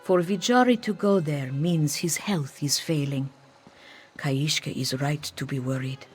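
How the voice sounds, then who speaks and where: A very low-pitched female cat-folk priestess played by a woman from a fantasy game. She speaks with grave, formal dignity, naming herself in the third person. Music plays behind her. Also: unhurried, a little mellow. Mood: grave, earnest. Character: solemn cat-folk priestess who sings exorcisms. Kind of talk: scripted fantasy game dialogue. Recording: background music under the voice.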